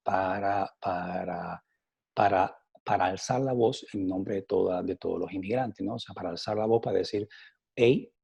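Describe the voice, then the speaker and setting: A middle-aged Cuban man, a scientist, answering interview questions in a halting way with many fillers and repeated words, his accent softened by years in Spain. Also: sits low, creaky, husky. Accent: Cuban Spanish, softened by years in Spain. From Cuba. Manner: animated, rising emphasis on key points.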